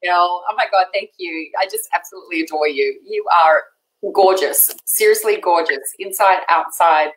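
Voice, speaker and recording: A middle-aged woman with an Australian accent chats warmly and effusively, laughing often. Her voice sits low, and the room around her sounds echoey on the video call. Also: normal speed. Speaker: guest. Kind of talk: casual chat. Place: home room.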